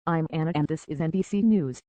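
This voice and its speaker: A woman reading a scripted news report as an anchor, in a formal, measured newsreader delivery. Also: low-pitched, mellow, dry recording. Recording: dull, lo-fi, dry audio.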